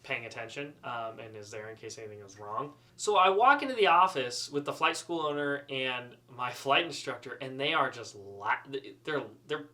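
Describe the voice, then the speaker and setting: Bright-voiced man telling a funny story. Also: medium pitch, moderate pace, hardly any pauses. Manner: animated, self-deprecating, chatty. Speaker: student pilot storyteller. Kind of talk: casual humorous storytelling.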